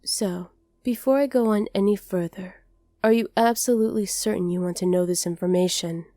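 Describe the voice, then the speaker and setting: A woman voicing a haughty, possessive dragoness captor in an ASMR roleplay, speaking to the listener in a condescending, deliberate way. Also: medium pitch, dark tone, no room sound, clean studio sound, natural voice. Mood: stern, condescending.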